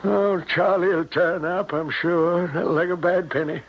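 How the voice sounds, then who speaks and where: A folksy, defensive innkeeper, with a high, husky voice. He speaks in stammering, homespun turns, and the seventies radio drama recording sounds dull. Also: fairly even intonation, slow, full character voice. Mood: folksy recounting. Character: folksy small-town innkeeper, grumbling, uneasy and defensive. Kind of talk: 1970s radio drama acting.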